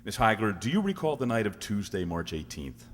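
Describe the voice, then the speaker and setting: A man playing a formal, probing courtroom prosecutor in a radio drama, putting deliberate questions. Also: fairly low pitch, big pitch swings, slight background noise. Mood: formal, pressing. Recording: long reverb of a hall.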